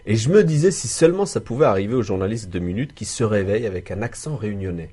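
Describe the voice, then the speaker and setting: A male radio host with a low voice and a rough, husky grain. He chats casually and likes to tease and banter with his co-hosts. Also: lively intonation, fast talker, dark tone. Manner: teasing, bantering. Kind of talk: casual political radio talk.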